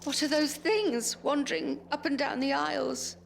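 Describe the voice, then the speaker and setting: An actress playing a suspicious, excitable elderly care home resident in an audio drama. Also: female voice, sits high, normal speed, creaky, a little hiss or hum. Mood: horrified. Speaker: voice actor.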